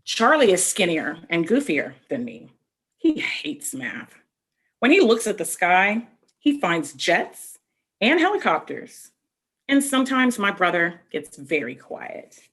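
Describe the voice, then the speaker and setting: A woman reading a picture book aloud to children, expressively, pausing to explain things directly to her young listeners. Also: lowish voice, very animated, a bit bright, breathy edge. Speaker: read-aloud storyteller.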